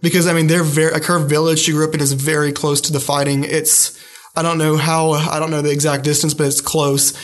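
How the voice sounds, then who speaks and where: A young man with a slightly high but flat voice, speaking haltingly and filling pauses with little hesitations. He sounds like a student chatting through an interview, circling back and restarting his thoughts. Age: young adult. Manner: hesitant, many fillers and restarts. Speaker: student guest. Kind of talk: casual interview.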